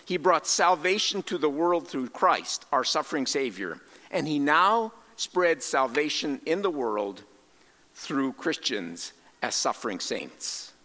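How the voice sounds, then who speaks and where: Animated male preacher in a reverberant hall. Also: on the high side, takes it slowly. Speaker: preacher and cross-cultural missionary. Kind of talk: sermon with scripture quotations and rhetorical questions.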